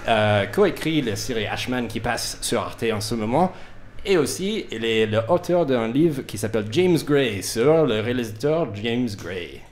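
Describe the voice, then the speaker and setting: A man with as his hosts a casual radio interview. His mid-pitched voice is halting, with repeated starts as he hunts for words, over faint noise. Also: animated.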